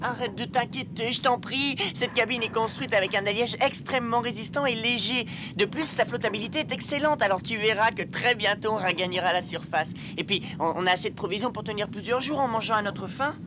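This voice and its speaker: A woman voicing an eager, clever young boy inventor in an animated dub, talking earnestly and explaining things. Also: fairly high pitch, brisk, hardly any pauses, noisy background, lightly acted. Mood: reassuring.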